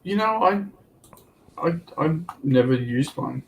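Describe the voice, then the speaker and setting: A man's voice: on the high side, expressive, takes it slowly, low-quality recording. A man on a casual hobby livestream panel, tentatively thinking aloud. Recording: clear background noise.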